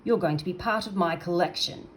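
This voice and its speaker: A woman voicing a big, gruff, greedy male storybook creature with a growl and a blustering, drawn-out delivery. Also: fairly low pitch, a little hiss or hum, lightly acted. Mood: gloating, menacing.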